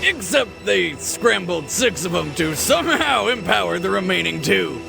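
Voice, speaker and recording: A man's voice: high voice, big pitch swings, normal speed, gravelly, over music. A man co-hosting a game analysis show, delivering hyped, joking scripted narration in a brash, punchy way.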